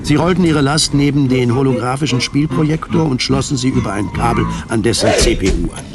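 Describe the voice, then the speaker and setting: A man narrating a scripted radio drama in a measured, precise storytelling manner. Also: medium pitch, over a noisy crowd. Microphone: studio microphone. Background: music.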